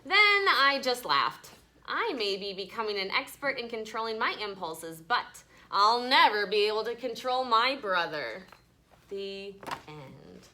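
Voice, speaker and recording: Animated, bright-voiced woman teacher reading aloud. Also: medium pitch, measured pace, a little hiss or hum. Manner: animated, conversational, checking in with questions. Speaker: elementary school teacher. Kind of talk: children's story read-aloud with class discussion. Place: classroom with children.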